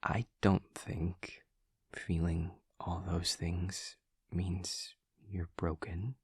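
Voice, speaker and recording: A man voicing an awkward, earnest demon classmate in an audio roleplay, speaking in halting, formal, overly literal phrases with a husky voice. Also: sits low, flat delivery, no room sound, clean studio sound, full character voice. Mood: gentle, halting reassurance. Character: awkward, earnest male demon classmate who senses emotions. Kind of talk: scripted audio roleplay to the listener.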